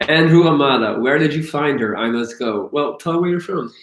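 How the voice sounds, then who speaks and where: A man's voice: fairly high pitch, lively intonation, a little fast, echoey room, slight background noise. A man hosting a live question-and-answer stream, joking and teasing while he reads out viewer questions. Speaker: YouTube host.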